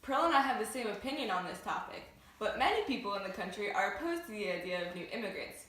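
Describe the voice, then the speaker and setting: A woman's voice: mid-range voice, lively intonation, fast talker, a bit bright, natural voice. A teenage girl playing a formal studio news anchor, reading a script in an amateur newscast. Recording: very echoey room, distant mic, faint noise.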